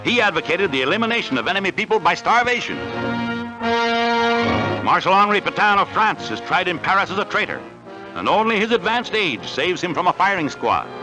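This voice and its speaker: A man's voice: high voice, big pitch swings, brisk, poor audio. An American man narrating a 1940s newsreel in a dramatic, declamatory scripted style on a vintage soundtrack. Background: loud music.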